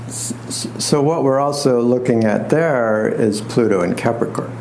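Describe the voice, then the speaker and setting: Soft spoken guy giving an informal talk, really slow w/ pauses, wry, bit of noise behind him. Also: mid-range voice, rough recording. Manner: unhurried, halting with pauses and repeats; wry, self-deprecating humor. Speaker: astrologer and tarot reader giving a lecture. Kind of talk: informal spoken lecture to a live group.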